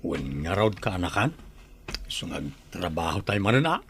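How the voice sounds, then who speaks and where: An actor with a Filipino accent playing a stubborn, carefree older bachelor uncle in a radio drama, drawling and dismissive. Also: male voice, sits low, sing-song, moderate pace, fairly bright tone, husky, full character voice.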